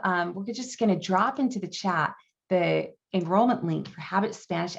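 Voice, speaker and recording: A woman's voice: medium pitch, muffled recording. A woman in her thirties hosting a live online Q and A, upbeat and encouraging, with plenty of ums and likes. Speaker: language program host. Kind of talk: live webinar Q and A.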